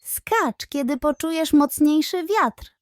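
Woman as a high-voiced encouraging seagull friend. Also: animated, slow, no room sound, clean studio sound. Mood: urging. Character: kindly encouraging female seagull, patient mentor and friend. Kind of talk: children's bedtime audio story.

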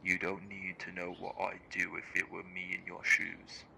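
A man's voice: deep voice, monotone, normal speed, creaky, heavy background noise. A man playing a cold, curt interrogator who demands answers in an audio drama. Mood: cold, threatening. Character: cold, menacing, no-nonsense male interrogator. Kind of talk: audio roleplay acting.

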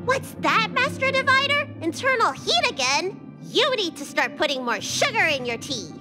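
A cheeky, excitable young anime game girl with a very high voice that bounces around. She is playful and takes her time, and music plays clearly behind her. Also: normal speed. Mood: teasing, eager.

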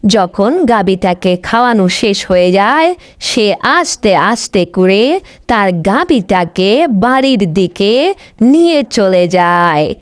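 A woman's voice: medium pitch, big pitch swings, slow pace, no room sound. A woman telling a folk tale as a scripted storyteller.

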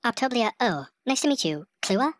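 A man's voice: very high-pitched, big pitch swings, moderate pace, fairly bright tone, no echo, voice pushed up, full character voice. A man voicing a polite, curious female newcomer aboard a spaceship in a sci-fi story, with a questioning manner. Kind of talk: sci-fi audiobook character.

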